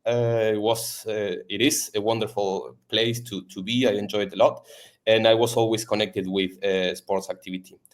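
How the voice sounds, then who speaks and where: A man from Argentina with an Argentine Spanish accent, a native Spanish speaker, talking as a podcast guest in a halting way with many fillers and repeats. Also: lowish voice, fairly even intonation. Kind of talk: casual interview chat.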